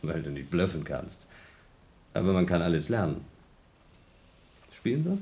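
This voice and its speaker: A lowish, wry moon-station astronaut. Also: male voice, sing-song, a little slow, darkish tone. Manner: wry, restless. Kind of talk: radio play acting.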